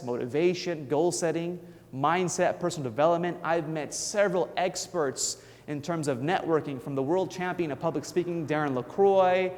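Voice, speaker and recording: A man's voice: fairly high pitch, long reverb tail. Earnest man giving a motivational talk. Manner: earnest, emphatic, with restarts. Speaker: motivational speaker and trainer. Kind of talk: inspirational speech.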